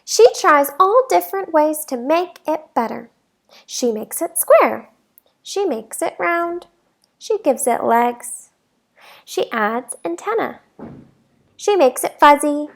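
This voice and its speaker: High-pitched woman reading a storybook slowly. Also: lively intonation, vocal fry. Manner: deliberate, emphatic phrasing for young listeners. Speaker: teacher reading a picture book aloud. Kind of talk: expressive children's storybook read-aloud.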